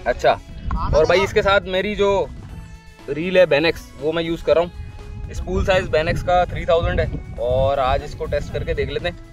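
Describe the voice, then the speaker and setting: Guy in his 30s chatting about fishing rods outside by a lake, voice a bit high, camera a few feet off, music going under it. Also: normal speed. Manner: relaxed, chatty, talks while handling gear. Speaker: fishing vlogger. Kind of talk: casual outdoor product review. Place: outdoors on a lakeshore under a tree. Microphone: camera on a tripod a few feet away.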